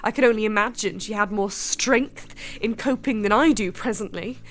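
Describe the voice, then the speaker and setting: A woman's voice: mid-range voice, normal speed, close to an everyday voice. An actress playing a sheltered, determined young lady in a radio drama, with formal, wistful period diction. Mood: wistful, reflective. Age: young adult. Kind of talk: radio drama acting with diary narration.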